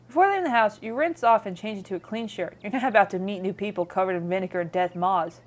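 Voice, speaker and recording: A woman's voice: mid-range voice, fast. A woman streamer reading game narration aloud with playful, chatty casual commentary.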